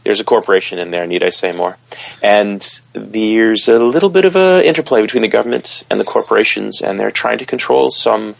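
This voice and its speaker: A man guesting on a podcast as an author, his voice heavily gravelly with a husky edge. He sits a little low in pitch and talks eagerly at length. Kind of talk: casual interview chat.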